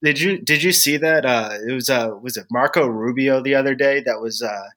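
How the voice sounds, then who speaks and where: A man's voice: medium pitch, brisk, fairly bright tone. A man who is a guest on a casual podcast, laid-back and sarcastic, sounding a little stuffed up, swearing freely. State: stuffed up from pollen.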